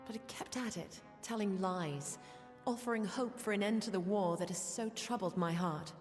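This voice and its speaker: An actress playing a quiet, guilt-ridden soldier in a fantasy video game, speaking earnestly and hesitantly. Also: female voice, medium pitch, normal speed, close to an everyday voice. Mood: remorseful. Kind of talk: video game character acting. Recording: long reverb, music behind.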